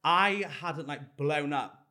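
A man's voice: a little high, slow pace, pushed and bright. A man guest on a podcast, chatting casually with lots of trailing fillers. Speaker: guest, content creator. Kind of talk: casual chat.

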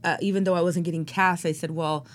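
A woman's voice: on the low side, fairly even intonation, fast talker. A woman who is an actor and playwright, speaking as an interview guest in a rambling, reflective way with many fillers. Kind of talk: interview conversation.

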